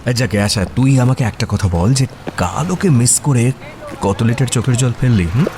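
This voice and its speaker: A man's voice: medium pitch, expressive, moderate pace, over music, lightly acted. An actor playing a playful, theatre-mad young man who teases his best friend with mock drama. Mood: cheeky teasing. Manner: teasing, mock-dramatic, hesitating for effect. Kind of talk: audio story dialogue in casual Bengali.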